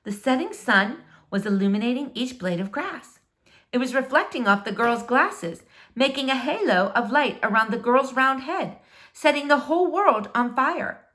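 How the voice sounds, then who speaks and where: A woman reading a children's novel aloud as expressive storybook narration. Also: high voice, normal speed.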